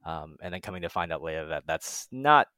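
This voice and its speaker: A man from the US West Coast speaks in a low voice in a casual podcast discussion. He sounds reflective and a little tentative, recorded close and dry. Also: lively intonation, fairly quick, pushed and bright, no room sound. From West Coast of the United States. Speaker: podcast host.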